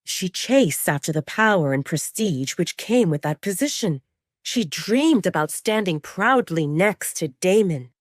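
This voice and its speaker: A woman's voice: on the low side, animated, a little slow, dry recording. A woman reading scripted, dramatic third-person narration for a romance audio serial, recorded close in a dry space.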